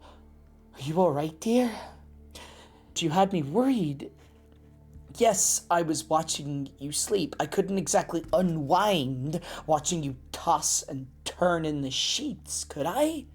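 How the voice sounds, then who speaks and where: A woman playing a motherly but dangerous lycan mercenary in an intimate roleplay audio drama, teasing in a slow purr. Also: low voice, expressive, a little mellow. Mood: gentle concern. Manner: slow, teasing, purring endearments. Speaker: amateur voice actor. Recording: echoey reverb with music underneath.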